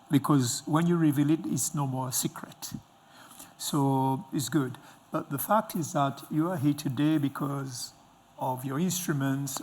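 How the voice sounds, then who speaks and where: A middle-aged man hosting a conversational interview in an encouraging, reflective way. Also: medium pitch. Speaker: interviewer, host. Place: indoor stage with dark curtains.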